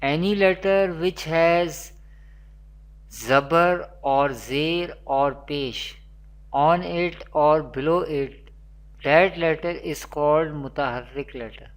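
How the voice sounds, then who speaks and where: A Quran teacher, giving an online lesson in a slow, repetitive teaching manner, with Arabic recitation. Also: medium pitch.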